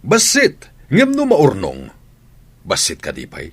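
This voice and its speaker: Husky, nagging husband. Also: male voice, medium pitch, huge pitch swings, slow pace, fairly bright tone, no echo, heavily acted. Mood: scolding. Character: sarcastic, nagging middle-aged husband and father. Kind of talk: radio drama acting in Ilocano.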